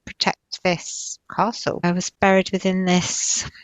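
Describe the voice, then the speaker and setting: A woman's voice: fairly low pitch, completely flat delivery, a little slow, clean tone, dry recording. A woman narrating spontaneously in a guided trance session, hesitant and drifting as she searches for words. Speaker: client recounting past-life visions in a guided session.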